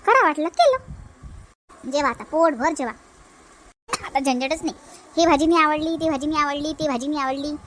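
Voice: high voice, animated, normal speed, pauses often, fairly bright tone, slight background noise. A performer voicing a chatty young married village housewife in a cartoon family comedy, with a childlike voice and a colloquial Vidarbha Marathi accent. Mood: exasperated.

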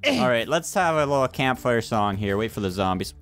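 A man's voice: medium pitch, very animated, a little fast, a bit bright, soft background music. A man chatting casually as he leads friends through a video game. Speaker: gamer leading a group gameplay video. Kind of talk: casual gameplay chat.

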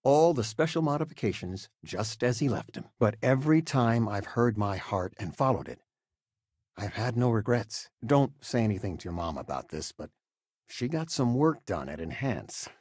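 Game dad, older: husky, very animated pitch, folksy, fussing, gently humorous retired teacher. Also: male voice, moderate pace, no room sound. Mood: conversational, fond. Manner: hesitant, folksy, expressive. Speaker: voice actor. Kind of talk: video game character dialogue.

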